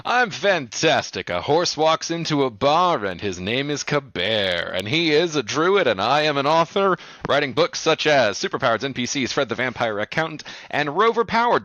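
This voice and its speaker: Bright, punchy male voice, dry sound. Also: medium pitch, no echo, lo-fi sound. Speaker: author and podcast panelist. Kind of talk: casual chat with wry jokes.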